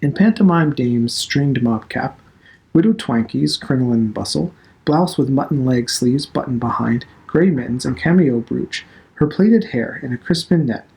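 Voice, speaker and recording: A man reading a novel aloud as dramatic narration, deliberate and expressive. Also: mid-range voice, gravelly, poor audio. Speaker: literature instructor reading aloud.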